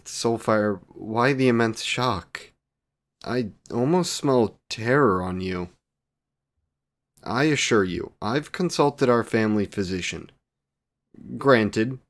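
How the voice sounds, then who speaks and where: A man playing a devoted, wry vampire boyfriend in a scripted romantic audio roleplay, speaking in ornate, formal phrases. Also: lowish voice. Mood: wry, earnest.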